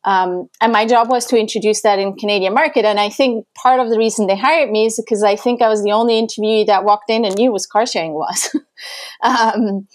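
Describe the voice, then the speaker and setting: A woman's voice: mid-range voice, steady tone, brisk. A middle-aged woman from Switzerland telling her story in a chatty way on a casual panel conversation, laughing often. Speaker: transport organisation founder.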